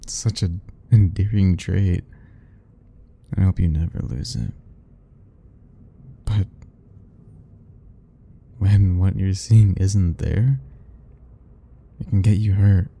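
A man voicing a doting, possessive yandere admirer in soft, husky, intimate murmurs for an ASMR romance roleplay. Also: low-pitched, fairly even intonation, a little hiss or hum, full character voice. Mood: doting, obsessive. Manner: slow, intimate murmuring, coaxing and self-talking.

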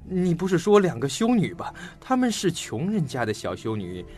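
An actor playing a shrewd, talkative, self-assured detective in an audio mystery drama, laying out a case in a theatrical storytelling manner. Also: male voice, a little high, huge pitch swings, normal speed, darkish tone, faint music behind. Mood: curious, teasing.

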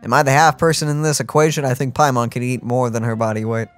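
A woman's voice: very deep voice, flat delivery, quick pace, faint music behind. A woman co-hosting a let's play, making casual comments and reading along with the game. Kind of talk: casual gameplay reaction chat. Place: indoor room, game audio behind.

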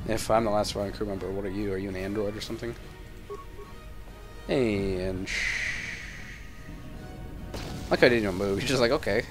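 Young male gamer, deeper side, casual and foul-mouthed, wry running commentary, clear music behind. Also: fairly even intonation, normal speed, long pauses. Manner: sarcastic, profane running commentary. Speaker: gaming video host.

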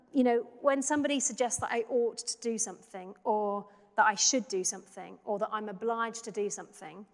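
A woman in her thirties, a pastor, giving a sermon in a church in a measured, reflective, conversational way. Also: mid-range voice, fairly bright tone. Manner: measured, reflective, conversational preaching with small restarts. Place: church sanctuary. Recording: long church reverb.

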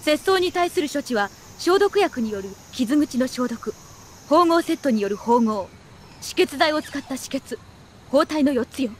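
A woman voicing a composed, firm medic in a video game, giving clear scripted instructions to a wounded soldier. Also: sits high, expressive, measured pace, a little hiss or hum. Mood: calm, instructive.

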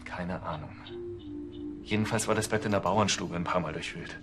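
An actor playing a rattled, defensive male suspect under police questioning in a TV crime comedy, with a rough voice. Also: low voice, moderate pace, over music, natural voice. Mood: unsure. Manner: defensive, protesting. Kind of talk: TV comedy crime series acting.

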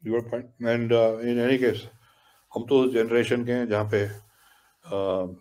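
An older man with an Indian accent, a guest author speaking quickly over a video call and mixing English with Hindi. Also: medium pitch, little pitch movement, darkish tone. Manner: rapid, switches between English and Hindi. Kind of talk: conversational interview. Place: home room, video call.

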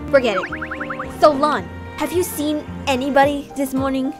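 A young woman playing a bossy, easily exasperated teenage twin sister in a video game fandub, brisk and scolding. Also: high-pitched, steady tone, music in the background. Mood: urgent, puzzled. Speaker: amateur voice actor.

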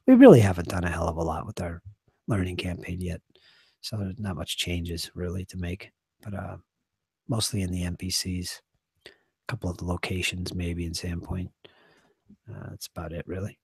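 Hoarse middle-aged man rambling on a stream. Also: low voice, moderate pace, long pauses, no room sound. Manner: rambling, earnest, trailing off quietly. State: losing his voice, hoarse. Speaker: game master and channel host. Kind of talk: casual live-stream chat. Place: small home study with shelves. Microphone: desk microphone.

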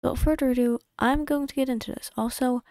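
A woman's voice: sits high, steady tone, mellow, dry recording, studio quality. A woman narrating her game playthrough in a casual voice-over from a home desk mic, rambling and correcting herself as she goes. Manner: rambling, self-correcting, quick asides. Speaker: YouTube creator.

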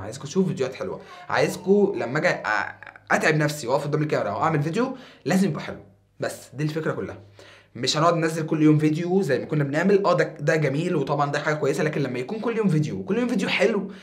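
A young man in his twenties with an Egyptian Arabic accent, talking casually to camera as a YouTuber in a small foam-paneled room, animated and a bit tired. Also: on the high side. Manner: animated, gestures a lot with his hands.

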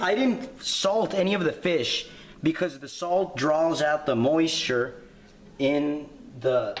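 A man's voice: on the high side, reverberant, slight background noise. A young man filming himself cooking in a home kitchen, explaining casually and swearing with cocky confidence. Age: young adult. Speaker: home cook vlogger. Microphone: handheld camera nearby.

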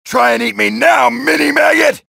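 A gravelly, shouting zombie-killing soldier. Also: male voice, very high voice, normal speed, no room sound, exaggerated voice. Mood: taunting. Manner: shouted taunts and one-liners. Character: brash, wisecracking male soldier blasting zombies. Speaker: video game soldier character. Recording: dry studio voice lines.

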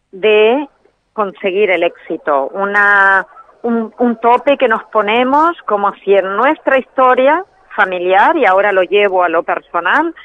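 A woman guest calling in by telephone, explaining ideas in a measured way and sometimes correcting herself mid-phrase. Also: mid-range voice. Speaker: phone-in guest, trainer in biological decoding. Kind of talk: explanatory interview answers. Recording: tinny phone line.

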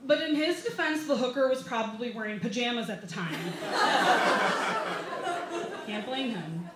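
A woman in her twenties with an American accent, reading a comedic personal essay live with wry, deliberate timing at a bar microphone. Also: fairly high pitch. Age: 20s. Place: small bar reading series, indoors. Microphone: microphone on a stand, held close. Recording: roomy live bar, some background noise.

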